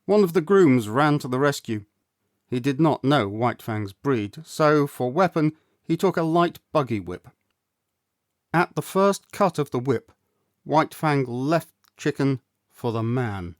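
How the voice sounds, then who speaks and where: A man narrates a storybook with an actor's expressive, theatrical flair. His mid-pitched voice is measured and deliberate. The sound is dry, with very little room in it. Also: no room sound. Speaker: audiobook reader, actor.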